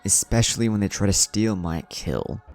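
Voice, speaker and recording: Menacing male villain for an anime-style story, done by a man narrating, deranged and ranting, low gravelly voice. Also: lively intonation, a little mellow, soft background music. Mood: menacing contempt. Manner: ranting, drawn-out threats.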